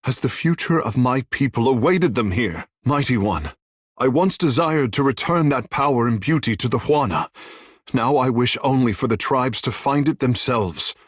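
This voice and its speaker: Fantasy game companion: male actor as a flamboyant, vain godlike fish-man, very animated, husky-edged, formal and flirtatious, recorded dry. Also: medium pitch, moderate pace, no room sound, close to an everyday voice. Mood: earnest, pleading. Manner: flamboyant, lofty formal phrasing, theatrical. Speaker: player's companion character. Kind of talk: fantasy video game voice acting.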